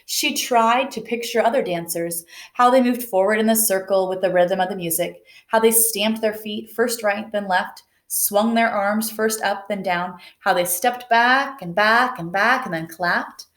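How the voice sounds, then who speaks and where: A woman reading a children's picture book aloud in a gentle, unhurried way. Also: mid-range voice. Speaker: storybook reader. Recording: echoey room.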